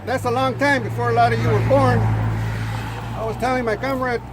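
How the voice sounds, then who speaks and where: An older man addresses a demonstration outdoors, his voice unusually high and loud, plain-spoken and halting with fillers. Street noise and passing vehicles run clearly under his words. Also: fairly even intonation. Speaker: rally speaker, former prisoner. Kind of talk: outdoor protest speech. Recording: noisy outdoor street recording.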